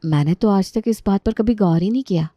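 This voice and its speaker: A gentle, trusting young married woman voiced by a female narrator in an audio story. She speaks mildly, at a middle pitch, in a dry recording. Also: a little fast, dry recording, lightly acted. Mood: doubtful. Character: trusting, naive elder daughter-in-law, young woman.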